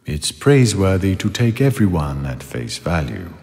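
An actor playing a pious, gentle, drowsy Bible in an audio play, solemn and soothing, with long church-like reverb. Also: male voice, low voice, big pitch swings, moderate pace. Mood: benevolent.

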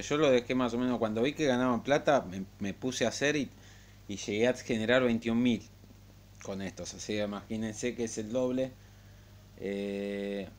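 A man giving a casual, unscripted game tutorial in a halting, rambling way. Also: on the low side. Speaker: gaming tutorial host. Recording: clear background noise and hum.

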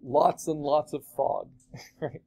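A man teaching a digital art class, chatting casually and asking his students questions as he demos the software. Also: on the high side, steady tone, takes it slowly, creaky. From California. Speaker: art instructor.

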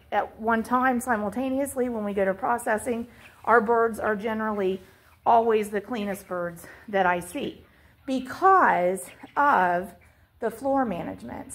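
A woman poultry farmer explaining floor care as she films inside her chicken barn on a handheld phone, walking listeners through her reasoning. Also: fairly high pitch. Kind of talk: instructional farm walkthrough. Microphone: handheld phone camera.

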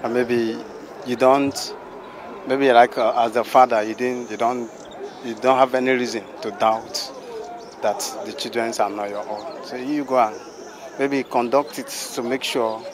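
A man's voice: mid-range voice, steady tone, unhurried. A young man answering a street interview hesitantly with many restarts into a handheld mic. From Nigeria. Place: city street outdoors. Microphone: handheld mic held by interviewer. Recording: crowd chatter behind.

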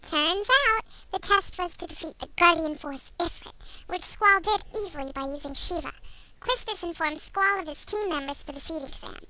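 A woman with a squeaky, childlike voice, an amateur dub actor playfully testing and narrating recaps. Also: moderate pace, pushed and bright, slight background noise, poor audio.

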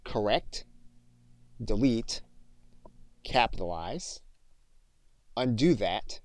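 A man narrating an instructional screen tutorial as a teacher, in deliberate, separated phrases on a close mic. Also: fairly low pitch, lively intonation, no room sound. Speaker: software instructor. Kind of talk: scripted screen tutorial narration with spoken dictation commands.

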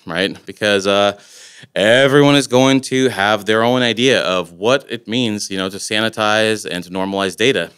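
A man's voice: medium pitch, a bit bright, smooth. A man in his forties from Latvia, giving a casual technical conference talk with loose, rambling phrasing and many fillers. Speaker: conference speaker. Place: conference room, indoors. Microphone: podium microphone.

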